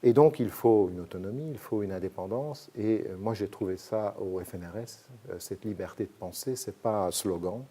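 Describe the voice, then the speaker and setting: A man from Belgium, a sociologist explaining his research in measured, hesitant sentences. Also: fairly low pitch, mellow, husky. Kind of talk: academic interview answers.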